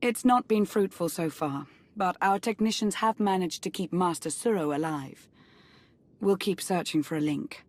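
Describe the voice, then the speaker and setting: An actress playing a composed, dutiful Sith intelligence chief in a video game, proud yet self-reproachful, speaking formally and earnestly. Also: female voice, fairly low pitch, moderate pace, darkish tone, no echo, natural voice. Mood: calm. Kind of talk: dramatic video game cutscene acting.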